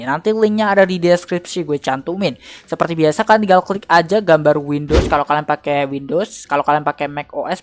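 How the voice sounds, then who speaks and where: A woman with an Indonesian accent hosting a casual software tutorial, talking to viewers in informal, slangy language. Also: lowish voice. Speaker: tutorial host.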